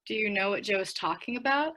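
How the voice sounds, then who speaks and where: A woman playing a gentle, worried teenage girl in a radio play, speaking earnestly. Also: medium pitch, animated, fast talker. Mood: uneasy.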